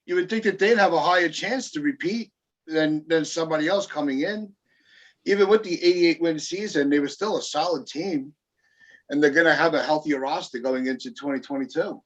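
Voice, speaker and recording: A man's voice: on the high side, a little fast, fairly bright tone. A man hosting a casual sports podcast over a video call, leading the chat with questions. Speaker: podcast host. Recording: dull lo-fi video call audio.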